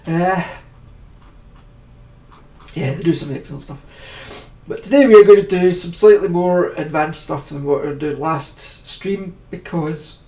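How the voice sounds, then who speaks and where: A man's voice: a little high, a little slow, dark tone, echoey room, recorded from a distance. A man with a stuffed-up nose from a cold, chatting in a jokey, self-deprecating way while hosting a casual editing tutorial stream.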